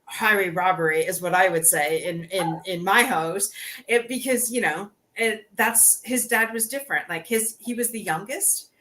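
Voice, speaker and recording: A woman's voice: mid-range voice, normal speed, sharp tone. A woman hosting an interview podcast, chatting casually with plenty of restarts and "you know". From Canada. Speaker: podcast host.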